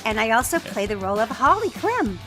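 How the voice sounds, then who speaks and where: A woman's voice: a little high, sing-song, brisk, bright, forceful tone, soft background music. A woman hosting a podcast in casual chat, effusive, often repeating and restarting her phrases. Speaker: podcast host, co-creator and voice actress.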